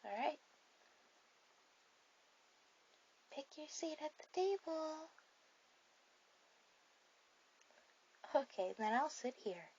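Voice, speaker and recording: A woman voicing a shy, sweet, bookish girl on a first date in an ASMR roleplay, speaking softly and hesitantly to the listener. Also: high voice, expressive, lo-fi sound, a little hiss or hum. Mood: gentle, nervous. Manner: soft, hesitant, halting delivery.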